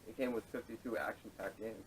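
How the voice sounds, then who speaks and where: A man's voice: fairly even intonation, fast. A man hosting a casual group chat about movies and games, recorded poorly on a camera microphone. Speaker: podcast host leading the topics. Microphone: camera microphone at a distance. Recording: distant camera microphone, noisy, rumbling.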